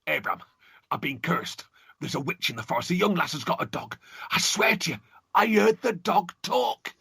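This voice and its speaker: A man voicing an old male peddler, frantic and shouting in broad northern dialect. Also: sits high, big pitch swings, normal speed, vocal fry, breathy edge, no room sound, clean studio sound, voice pushed up, heavily acted.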